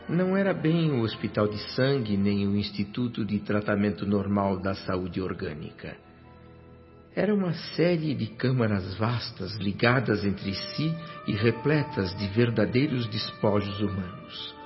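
A man's voice: fairly low pitch, expressive, a little slow. A man playing a reflective spirit who narrates his journey in a radio drama, solemn, with a Brazilian accent. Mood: horrified, solemn. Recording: dull radio-drama sound, music behind.

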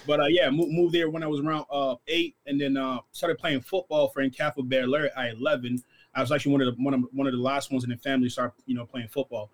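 Fast-talking young male football player. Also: medium pitch, level tone. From born in Congo, grew up in Canada from about eight. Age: 20s. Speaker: guest, football draft prospect. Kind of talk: casual interview answers.

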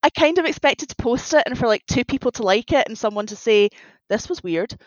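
Animated higher-pitched woman, slightly muffled audio. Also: brisk, no room sound. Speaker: guest fan fiction writer. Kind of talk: casual interview chat.

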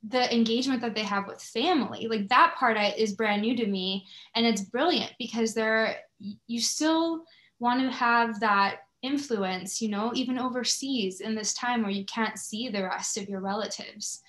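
A woman's voice: a little high, normal speed, a bit bright. A woman hosting an interview in a conversational, thoughtful and enthusiastic way. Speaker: podcast host interviewing a guest. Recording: roomy, echoey sound.

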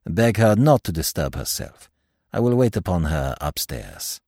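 A courteous old magistrate with a deep, hushed, formal delivery, as a narrator drops his voice for him. He sounds polite and measured, recorded dry and close. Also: big pitch swings, slightly rough, no room sound, full character voice. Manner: low, quiet, formal politeness.

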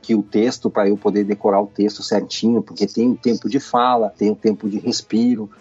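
A man telling his story at a fast clip, his voice low, dark and a bit husky. He talks casually and keeps throwing in "cara". The sound is dull and lo-fi, with faint music underneath. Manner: animated storytelling, peppered with "cara". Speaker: guest. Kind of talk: casual podcast interview.

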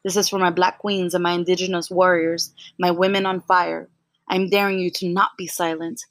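A young woman hosting a podcast, softly introducing and then reciting her own spoken word poem in a measured way. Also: on the low side, level tone, no echo. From United States. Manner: soft-spoken, frequent ums, measured poetic delivery. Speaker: podcast host.

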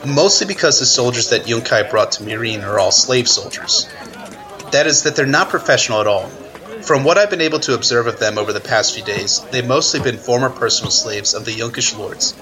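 A man acts as an analytical male correspondent in a fantasy army camp. He explains in a matter-of-fact way, with a medium-pitched voice. A clear outdoor crowd bustles behind him, as in a radio play. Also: natural voice, poor audio. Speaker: guest correspondent. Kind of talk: radio news report roleplay.

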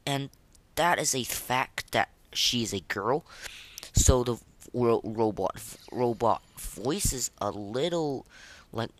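A man hosting a casual reaction podcast, rating things in an informal, rambling way with a lot of halting fillers. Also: mid-range voice, expressive, no echo. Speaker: podcast host. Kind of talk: casual reaction commentary, rating game voice lines.

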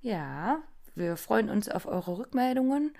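A woman's voice: mid-range voice, very animated, unhurried, vocal fry, warm tone. A woman co-hosting a podcast, chatty and casual, with frequent fillers and laughter. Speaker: podcast co-host. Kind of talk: casual chat.

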